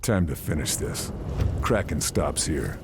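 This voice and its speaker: A man playing a grim, stoic wartime sniper, narrating tersely and gravely in a game cutscene. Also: extremely low pitch, animated, unhurried, very noisy. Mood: grim, wry. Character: grim, stoic American sniper agent in WWII.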